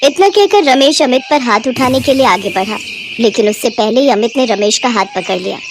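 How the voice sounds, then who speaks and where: A woman with a high voice narrates a scripted moral tale as a storyteller. There is clear noise in the background of the recording. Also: expressive, fairly quick.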